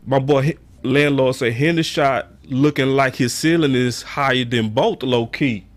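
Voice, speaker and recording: A hoarse, raspy-voiced man hosting a sports call-in show, talking in an emphatic, slangy, animated way. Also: medium pitch, a little slow. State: hoarse, voice going in and out. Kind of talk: passionate sports commentary to callers and viewers.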